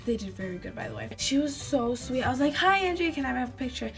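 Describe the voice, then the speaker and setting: A teenage girl chats to her webcam from a small bedroom, her voice a bit hoarse and gravelly. She sits on the higher side and rambles through her stories with plenty of "like"s and giggles. Faint music plays underneath. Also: big pitch swings, fast talker. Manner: chatty, giggly storytelling with many fillers. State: slightly hoarse from a lost voice. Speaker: vlogger. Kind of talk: casual chat to camera.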